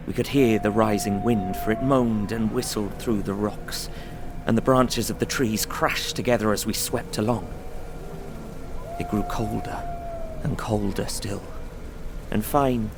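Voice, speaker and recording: Anxious male traveller, gravelly fry, measured suspenseful storytelling, literary first-person audio drama, music underneath. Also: mid-range voice. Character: Jonathan Harker, anxious traveller recounting a frightening journey. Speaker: narrating lead character.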